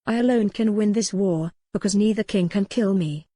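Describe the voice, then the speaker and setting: A woman's voice: mid-range voice, moderate pace, dark tone, no room sound, clean studio sound, close to an everyday voice. A woman voicing a remorseful yet determined young noblewoman in a historical romance audiobook. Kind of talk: dramatic audiobook character reading.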